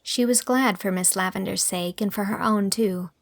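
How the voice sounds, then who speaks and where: A woman's voice: mid-range voice, normal speed, gravelly, no room sound. A woman reading scripted literary narration as an audiobook narrator.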